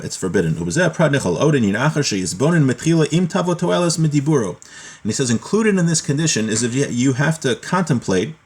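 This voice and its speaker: Low-voiced man teaching a religious text class. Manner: explanatory, moving between reading a text aloud and plain paraphrase. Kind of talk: informal text study lesson, reading Hebrew and explaining in English.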